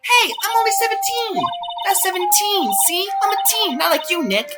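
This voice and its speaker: A girlish, excitable seventeen-year-old played by a man in a game fandub. The voice sits very high and swings about animatedly, gushing and exclaiming impatiently over loud music. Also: moderate pace. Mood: excited, defensive.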